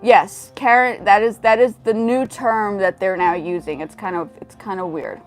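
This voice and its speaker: Woman, 40s, livestream host, mid pitch, rambling with restarts, faint music behind. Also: steady tone, normal speed. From grew up in South Africa, the United States and Japan. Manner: rambling, full of restarts and asides to chat. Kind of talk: casual livestream chat.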